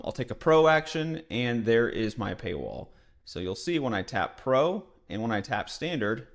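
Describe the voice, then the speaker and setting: A man narrating a screen-share software tutorial in a casual, conversational, explanatory way, as a developer advocate would. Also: medium pitch.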